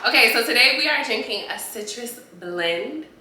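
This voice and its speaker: A woman's voice: on the high side, expressive, normal speed, bright, forceful tone. A young woman co-hosting a podcast while stuffy from a cold, chatting casually and slangily and calling listeners y'all. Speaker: podcast co-host. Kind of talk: casual chat. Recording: echoey room.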